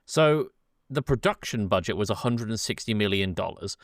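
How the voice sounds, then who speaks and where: A man's voice: fairly low pitch, animated, normal speed, no room sound, studio quality. A man co-hosting a film podcast, informally steering the chat and laying out facts.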